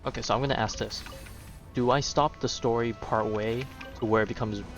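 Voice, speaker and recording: A man streaming a video game, chatting casually in a rambling, halting way as he thinks aloud. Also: medium pitch, steady tone, highs cut off. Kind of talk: casual livestream chat over gameplay. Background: game music and battle sounds.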